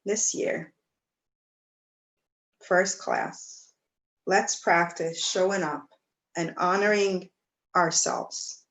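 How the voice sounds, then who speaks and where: A woman teaching yoga, guiding breathing and meditation in a gentle, soothing way, with pauses between phrases. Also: on the low side, low-quality recording. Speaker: yoga teacher leading a class. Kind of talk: guided breathwork and meditation instruction.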